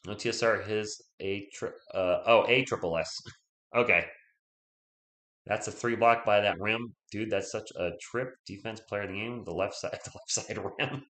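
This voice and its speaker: A man's voice: lowish voice, fairly bright tone, echoey room. A man hosting a live fan play-by-play stream, chatty and loose, reading viewer comments and rooting for his team while losing his voice.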